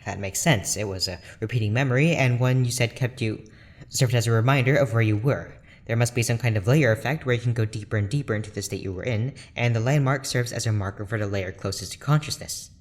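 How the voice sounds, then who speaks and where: A man acting a reserved, clinical research doctor in an audio drama, formal, measured and dryly blunt. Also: medium pitch, natural voice. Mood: excited, pensive. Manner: formal, measured, clipped, deadpan.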